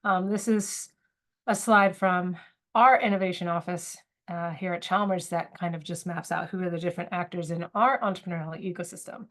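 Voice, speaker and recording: A woman's voice: fairly low pitch, steady tone, normal speed. A woman with a cold giving a webinar lecture, a little sniffly, thoughtful, with frequent fillers and restarts. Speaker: academic presenter. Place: university.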